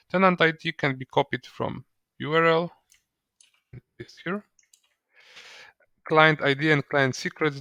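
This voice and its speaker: Man, medium pitch, dry close-mic sound, step-by-step tutorial narration, hesitant with repeated words. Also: fairly bright tone, clear, resonant tone, dry recording. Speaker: software trainer.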